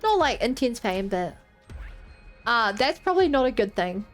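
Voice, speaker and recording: A woman's voice: on the high side, very animated, normal speed, faint music behind. A woman in her twenties streaming a game, rambling and joking as she talks to her chat. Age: 20s. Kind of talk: casual gameplay commentary.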